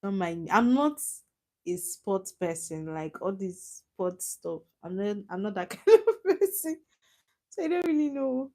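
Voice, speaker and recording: A woman's voice: medium pitch, sing-song, measured pace, darkish tone. Chatty Nigerian woman on a live stream. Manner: chatty, teasing, laughs often, answers chat comments. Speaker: livestream host. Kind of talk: casual live stream chat with viewers.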